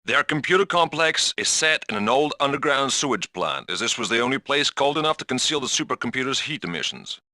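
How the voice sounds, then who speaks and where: A man's voice: mid-range voice, sing-song, a little fast, few pauses, pushed and bright, no room sound. A man playing an authoritative spy mission controller who gives urgent, instructive briefings in a video game.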